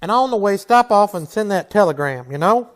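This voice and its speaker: A steady Old West marshal played by a man in a western radio play, with a high voice for a man. He is blunt, commanding and folksy, with a dry wit under the sternness. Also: brisk. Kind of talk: old-time western radio drama acting.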